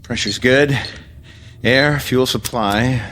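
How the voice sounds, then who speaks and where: A man playing a steady, practical spaceship pilot in a radio drama. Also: medium pitch, slow, background noise, lightly acted. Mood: calm wonder.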